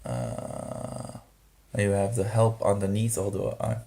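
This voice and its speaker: A man rambles in a casual vlog monologue with a somewhat low, gravelly voice. He talks slowly and haltingly, with many fillers and restarts. Now and then he grumbles in disapproving asides. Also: big pitch swings, background noise. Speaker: vlogger.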